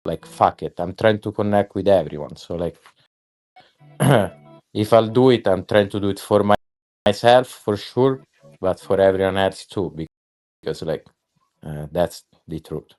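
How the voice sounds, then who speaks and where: A man's voice: low-pitched, measured pace, long pauses, darkish tone, soft background music. A man chatting casually as a guest on an online voice space, rambling and swearing, with lots of fillers and restarts. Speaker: guest artist.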